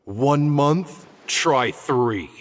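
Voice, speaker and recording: An actor playing an indignant elderly scholar in a video game, scolding with sarcastic rhetorical questions. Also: male voice, medium pitch, animated, very slow, slight background noise. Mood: exasperated. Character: indignant elderly male scholar berating an old colleague. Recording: reverberant hall sound, music underneath.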